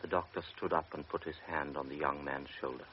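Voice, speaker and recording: A man narrating a radio drama in a steady, restrained manner. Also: very deep voice, brisk, husky. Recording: muffled old radio recording with hiss.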